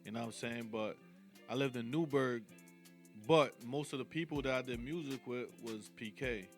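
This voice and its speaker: A man in his thirties from Jamaica, a guest on a radio show, talking in an easygoing, relaxed way. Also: mid-range voice, normal speed, soft background music. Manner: easygoing, relaxed, says "you know what I'm saying" often. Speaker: guest rapper and videographer. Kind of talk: casual interview.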